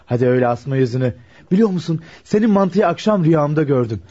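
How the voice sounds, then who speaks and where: A man's voice: a little high, lively intonation, quick pace, mellow, husky. An actor playing an affectionate, teasing young man back from military service in a radio play. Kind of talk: naturalistic radio play dialogue. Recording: dull lo-fi radio play recording.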